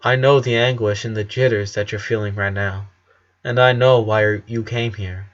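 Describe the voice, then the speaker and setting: A man voicing a calm, understanding male karate sensei in a storybook reading, gentle and measured. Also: fairly low pitch, dull audio, pitched below the performer's own voice, close to an everyday voice. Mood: calm, consoling. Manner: gentle, measured, wise counselling.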